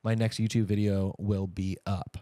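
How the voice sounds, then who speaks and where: A man's voice: lowish voice, level tone, moderate pace, dark tone, no room sound. A man in his thirties co-hosting a podcast in a casual, playful chat, with a jokey, bantering way of talking. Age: 30s. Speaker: podcast co-host.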